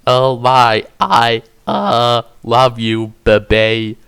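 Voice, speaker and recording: A man's voice: medium pitch, lively intonation, drawn-out words, creaky, a bit bright, clean tone, heavily acted. A man voicing a shy, lovesick young troll boy who talks hesitantly, full of uhs, in an amateur fan fiction reading. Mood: bashful. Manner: hesitant, stammering with frequent uhs.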